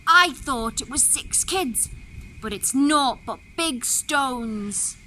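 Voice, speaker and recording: A woman voicing a big greedy male wolf in a fairy tale, complaining in a drawn-out, bewildered groan. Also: high-pitched, animated, pushed and bright, a little hiss or hum, heavily acted, rough recording. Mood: groaning, puzzled.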